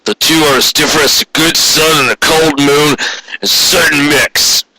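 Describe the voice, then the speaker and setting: Man putting on a gruff, cynical big stallion boss. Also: fairly high pitch, fairly even intonation, moderate pace, pushed and bright, voice pushed up, rough recording. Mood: cynical, grumbling.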